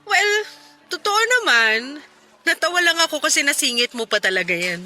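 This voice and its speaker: A woman playing an excited, smitten single woman in a radio drama, with a Filipino accent and a bubbly, coy, playful manner. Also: high voice, moderate pace, full character voice. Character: excited, smitten single woman chatting with her best friend. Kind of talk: Tagalog radio drama dialogue. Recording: music bed behind the dialogue.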